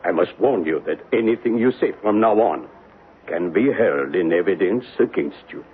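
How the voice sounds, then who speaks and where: A man playing a grave, formal police inspector questioning deliberately, in old-time radio drama style. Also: low-pitched, lively intonation, slight background noise. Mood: probing. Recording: muffled phone-like old radio broadcast.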